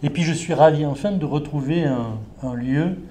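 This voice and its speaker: A man's voice: on the high side, normal speed, mellow. A middle-aged man from southern France giving a conference talk to a live audience, speaking through a face mask that slightly muffles his voice, with frequent hesitations and restarts. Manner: hesitant, many filler sounds and restarts. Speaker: invited speaker, environmental law expert. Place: indoor venue with an audience.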